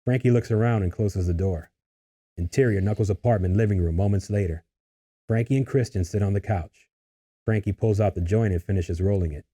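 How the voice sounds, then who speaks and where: A man's voice: on the low side, brisk, warm tone. A man narrating a screenplay, reading out scene headings and stage directions as scripted narration.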